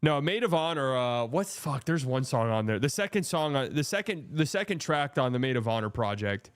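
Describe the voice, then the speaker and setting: Man hosting a live stream, blunt and profane, smooth clean voice, middle pitch. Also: lively intonation, a little fast. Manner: blunt, profane, reads and answers chat. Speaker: streamer hosting a watch party. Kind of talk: casual live game-watching chat.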